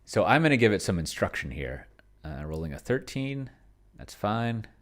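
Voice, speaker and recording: A middle-aged man thinking aloud in casual commentary while playing a tabletop game at home, halting and muttering to himself as he goes. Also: fairly low pitch, very animated. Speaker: host of a solo roleplaying video. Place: at home, at a computer.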